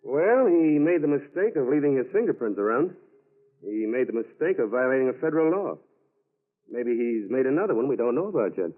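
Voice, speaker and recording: A man acting a methodical, confident FBI agent with a measured, matter-of-fact manner in a 1940s radio drama. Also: medium pitch, very animated, lightly acted. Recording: tinny, narrow-band 1940s radio recording.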